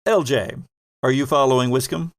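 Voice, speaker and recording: A man's voice: medium pitch, normal speed, no echo, clean studio sound. A man narrating a tabletop roleplaying game as game master, improvising in a conversational way and addressing the players directly.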